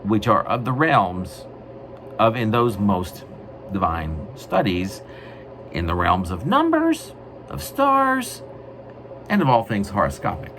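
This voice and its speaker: A man reading his own poetry in a wry, mock-grandiose way, with deliberate, literary phrasing. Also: on the low side, wildly swooping pitch, a bit bright. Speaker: poet reading own work. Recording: noisy amateur recording.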